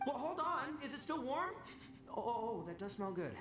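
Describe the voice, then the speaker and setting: Brash, boastful young brawler; lively swings, echoey distant game audio. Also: male voice, extremely high pitch, normal speed, faint music behind, exaggerated voice. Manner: loud, cocky, theatrical.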